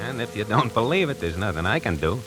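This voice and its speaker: A man playing a nervous, talkative tie salesman who narrates his own story in a 1940s radio drama, moving between hushed and frantic. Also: fairly low pitch, sing-song, quick pace, music in the background, rough recording. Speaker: radio actor narrating in character.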